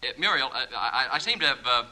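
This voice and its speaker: An actor playing a sheepish, flustered husband who stammers evasive excuses in a 1950s radio comedy. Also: male voice, medium pitch, expressive, fast talker, pushed and bright. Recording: noisy vintage 1950s radio recording.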